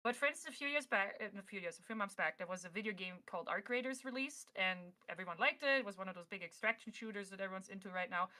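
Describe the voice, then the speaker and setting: A woman talking casually and emphatically on a livestream panel, with an encouraging, animated manner. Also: mid-range voice. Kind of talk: casual panel talk, giving advice and opinion.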